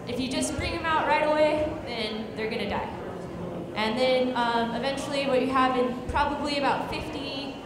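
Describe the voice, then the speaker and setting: A woman's voice: high voice, normal speed. A woman giving a casual science talk to a live audience at a pub event, explaining step by step with lots of fillers, speaking into a handheld mic. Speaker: guest speaker. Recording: echoey hall, loud crowd chatter.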